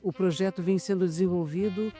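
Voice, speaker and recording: A woman's voice: on the low side, steady tone, brisk, mellow. A middle-aged Brazilian woman, an actress and co-writer, speaking in a making-of interview. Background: background music.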